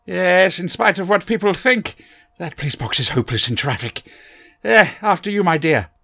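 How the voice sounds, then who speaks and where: A man's voice: expressive, moderate pace, creaky, heavily acted. A man voicing an eccentric, fussy old male time traveller who dithers and fusses. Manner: fussy, dithering, high and loud.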